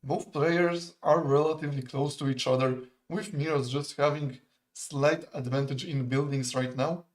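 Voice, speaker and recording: A young man, commentating a live strategy game in a relaxed, chatty, analytical way and laughing often. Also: a little high, normal speed, poor audio. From Poland. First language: not English. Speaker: esports caster.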